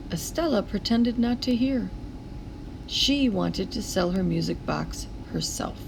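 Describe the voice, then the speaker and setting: A woman reading a children's picture book aloud in a deliberate, expressive storytelling manner. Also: medium pitch, creaky, background noise. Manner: deliberate storytelling with acted character voices.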